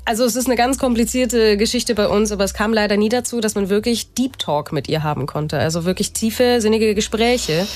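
A woman's voice: mid-range voice, a little fast, few pauses, darkish tone. A young woman from Germany, a guest on a radio talk show, speaking candidly and reflectively with many restarts and fillers. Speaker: guest, parkour athlete.

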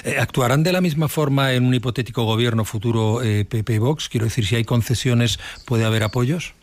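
Halting male interviewer, dry sound. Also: medium pitch, no room sound. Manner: halting, with many restarts while forming questions. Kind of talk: political interview questions.

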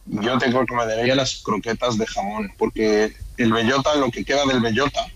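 A man's voice: medium pitch, normal speed, no room sound. A man in his thirties with a Madrid Spanish accent, a restaurant owner talking casually in an interview, rambling with restarts. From born in Ecuador, raised in Madrid. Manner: relaxed, rambling with restarts and "o sea".

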